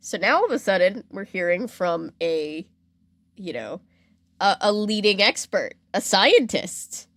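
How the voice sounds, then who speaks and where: A woman hosting a podcast in a casual, animated storytelling style. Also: mid-range voice, unhurried, dry recording. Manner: animated retelling, acting out imagined reactions in quotes. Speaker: podcast host.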